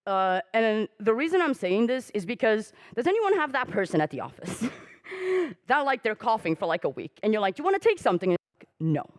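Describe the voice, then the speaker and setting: A woman's voice: very animated, fairly quick, no echo, clean studio sound. A young adult woman whose first language is Portuguese, giving a candid, self-mocking conference talk on stage, swearing casually and acting out quoted conversations. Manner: acts out quoted dialogue with jumps in pitch. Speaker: conference speaker.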